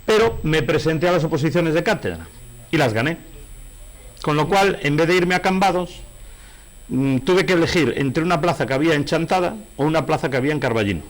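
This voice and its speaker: A man's voice: fairly high pitch, expressive, brisk, rough recording. A middle-aged man from Galicia with a Spanish accent, talking as an interview guest in a digressive, anecdotal way and often correcting himself. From Galicia, Spain. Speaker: interview guest, painter and novelist. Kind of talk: conversational interview, anecdotal storytelling.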